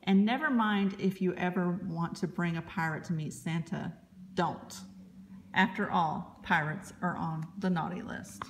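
A woman reading a children's picture book aloud as a librarian, in expressive, deliberate narration. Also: on the low side, slight background noise.

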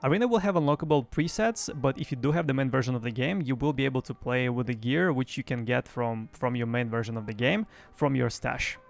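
Young adult male gaming news presenter, creaky edge, mid pitch, home streaming setup. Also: fairly quick. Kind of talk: semi-scripted news recap. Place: small home streaming room. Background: faint music.

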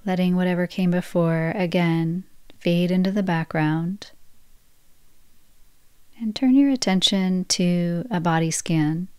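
A woman guiding a yoga nidra relaxation practice, speaking in slow, soft, soothing phrases. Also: fairly low pitch, clear, resonant tone, dry recording, studio quality. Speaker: meditation guide.

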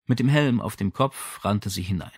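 Male narrator, literary crime fiction, audiobook reading, animated intonation, very dry recording. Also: on the low side, moderate pace, slightly rough, dry recording.

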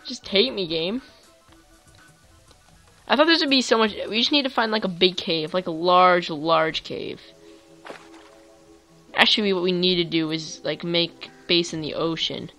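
A woman casually commentating her own gameplay for viewers, chatty and quick to get excited. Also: on the low side, soft background music. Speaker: gaming YouTuber. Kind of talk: let's play commentary.

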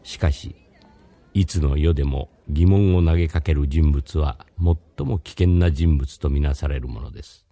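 A man's voice: rumbling low, measured pace, mellow, no echo, full character voice. A man playing a mysterious, courteous philosophy teacher, narrating in a scripted radio drama. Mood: solemn, explanatory.

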